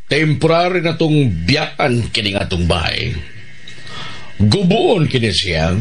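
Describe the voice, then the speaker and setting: A man with a Cebuano Filipino accent playing an affectionate, commanding head of the household in a radio drama, measured and authoritative. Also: medium pitch, sing-song. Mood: earnest, announcing.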